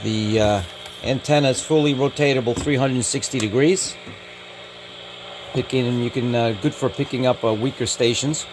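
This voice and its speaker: A man's voice: mid-range voice, moderate pace, background noise. A man giving a casual hands-on product review in a matter-of-fact way, listing details in short clipped phrases. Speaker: radio hobbyist reviewer. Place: indoors.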